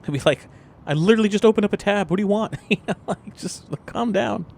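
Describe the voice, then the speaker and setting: A man's voice: high voice, quick pace, creaky, darkish tone, a little hiss or hum. A man playing a tabletop role-playing game, chatting casually and tossing in joking, conversational asides. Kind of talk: casual chat and improvised role-play.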